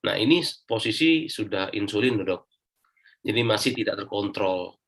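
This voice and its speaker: Low-voiced Indonesian man giving a testimonial. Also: lively intonation, normal speed, a bit bright, husky. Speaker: interview guest giving a personal testimonial. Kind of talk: casual spoken personal account.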